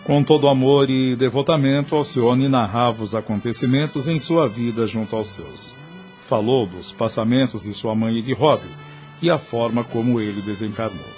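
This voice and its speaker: A man's voice: mid-range voice, normal speed, a little mellow. A man narrating a scripted drama in a solemn, literary storytelling manner. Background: music.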